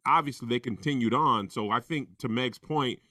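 A man's voice: medium pitch, normal speed, sharp tone, dry recording, studio quality. A man hosting a casual group podcast chat, joking and punning as he talks. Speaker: podcast host.

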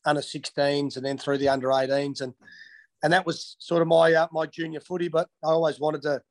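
Aussie guy in his 30s, lotsa ums and restarts, kinda high voice, pretty flat. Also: fairly quick. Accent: Australian. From Western Australia. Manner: hesitant, many ums and restarts. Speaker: interviewee, former footballer. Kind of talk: casual interview answers.

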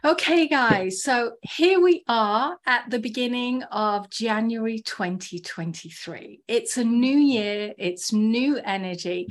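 A woman's voice: on the high side, takes it slowly, hardly any pauses. A woman hosting a podcast in an upbeat, effusive way, laughing often. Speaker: podcast host.

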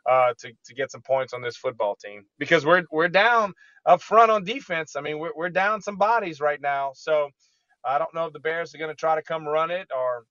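Talkative sports host, muffled dry sound. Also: male voice, a little high, fairly bright tone, no room sound. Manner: fast, repeats words at the start of lines. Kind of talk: casual sports talk.

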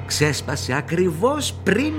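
A man's voice: high-pitched, big pitch swings. A man playing the narrator of an eerie horror radio play, theatrical and suspenseful, with music behind him. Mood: ominous. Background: background music.

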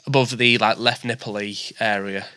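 A man with a naturally high voice hosting a casual podcast chat, joking and self-deprecating, recorded on a studio microphone. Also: steady tone, normal speed, sharp tone, no echo. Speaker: podcast host. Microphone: dynamic studio mic with preamp.

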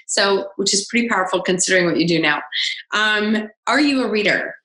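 A woman's voice: medium pitch, fairly quick, bright, forceful tone. A woman hosting a podcast interview, asking questions and praising answers enthusiastically. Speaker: podcast host. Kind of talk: casual interview. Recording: echoey room.

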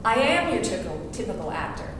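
A woman's voice: mid-range voice, sing-song, quick pace. Woman, French American, rhetorical punchy delivery, distant mic, long hall reverb, background noise. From France and the United States. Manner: punchy, rhetorical, self-mocking. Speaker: actress and voiceover artist speaking at a forum. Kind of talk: public speech. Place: large event hall. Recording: echoey hall from far off, noisy.

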